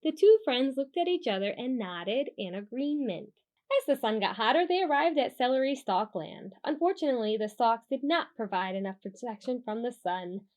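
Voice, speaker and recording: Woman, high voice, lively swoops, children's read-aloud, expressive, informal host. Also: normal speed. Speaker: children's storybook reader and host. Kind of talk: animated children's read-aloud with casual asides.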